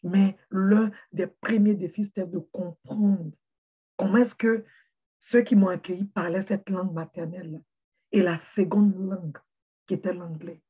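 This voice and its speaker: A woman speaking straight to her viewers in an earnest, encouraging vlog. Her voice is husky and a little breathy. It sounds tinny and narrow, like a phone recording. She often stops and repeats a word before she finds the next one. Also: lowish voice, fairly even intonation, unhurried. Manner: earnest, repeats words while searching for them. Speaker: motivational vlogger for immigrants. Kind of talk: heartfelt motivational talk to camera.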